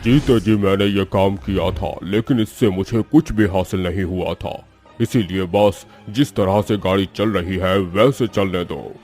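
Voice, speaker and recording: A man acts out a gloomy, scheming ghost husband from a horror cartoon. The voice is dark and mellow, and he speaks very slowly and deliberately, with music playing underneath. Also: deep voice. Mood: weary, dismissive. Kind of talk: Hindi horror cartoon dialogue.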